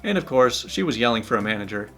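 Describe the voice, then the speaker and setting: A young man, a channel host, reading online stories aloud in a playful, animated way with his own commentary. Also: mid-range voice, fast, soft background music. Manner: animated, playful, laughs while telling. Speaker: channel host, story reader.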